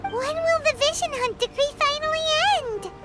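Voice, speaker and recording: A woman voicing a tiny, blunt, excitable childlike companion in a video game, squeaky and exclamatory. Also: normal speed. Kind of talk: scripted video game dialogue. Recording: loud music behind the voice.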